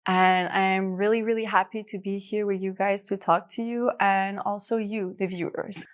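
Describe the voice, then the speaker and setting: A woman's voice: medium pitch, little pitch movement, normal speed. A woman in her twenties introducing herself on a panel, friendly and slightly hesitant. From Canada. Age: 20s.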